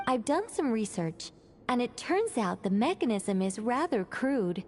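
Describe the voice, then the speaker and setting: A woman's voice: fairly high pitch, very animated, measured pace, a little mellow, slight background noise. An actress playing a self-assured scholarly woman in a video game, formal and precise as she explains at length. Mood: explanatory.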